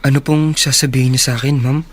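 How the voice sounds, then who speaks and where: A man's voice: mid-range voice, fairly even intonation, fast talker, natural voice. An actor playing a humble, grateful young working man, deferential and earnest, in a Filipino radio drama. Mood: timid. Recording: radio drama with music underneath.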